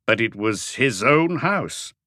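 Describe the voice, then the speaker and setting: A man voicing a calm, probing police inspector who asks short, measured questions in an audiobook mystery. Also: mid-range voice, no room sound, studio quality, full character voice. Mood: probing, patient.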